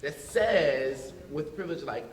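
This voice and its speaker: A man from North Philadelphia speaking as an educator on a panel discussion, talking in an animated way with many fillers. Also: fairly high pitch, unhurried, roomy sound, slight background noise. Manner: animated, frequent fillers like "like" and "you know what I mean". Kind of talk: panel discussion, casual conversational. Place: large room with audience.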